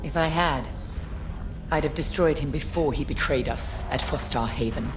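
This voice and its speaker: A woman's voice: deep voice, normal speed, natural voice. A woman playing a stern, formal Imperial fleet captain in a video game, commanding and controlled, with a bitter edge. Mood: cold, formal. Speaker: military commander giving mission briefing. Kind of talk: cinematic video game military briefing. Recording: background orchestral game music.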